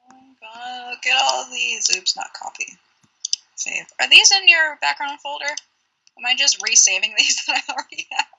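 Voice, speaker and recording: A woman's voice: sits high, expressive, normal speed, vocal fry, sharp tone, slight background noise, poor audio. A young woman co-hosting a casual livestream, chatty and giggly. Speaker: livestream co-host.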